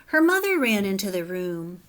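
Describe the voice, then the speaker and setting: A woman teacher reading a children's picture book aloud, relaxed and gently instructive. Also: medium pitch, normal speed.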